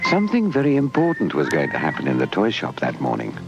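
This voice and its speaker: A British man narrating a scripted children's puppet story in an animated, dramatic way. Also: a bass voice, fast, vocal fry. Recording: dull lo-fi 1980s VHS tape. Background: music.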